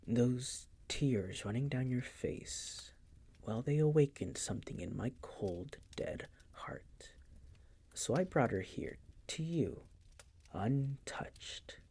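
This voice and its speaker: A man playing a possessive, coolly menacing vampire master in an intimate audio roleplay, teasing and condescending in a breathy, gravelly whisper. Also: mid-range voice, animated, dark tone, exaggerated voice. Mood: cold, controlling. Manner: slow, condescending, teasing, coaxing.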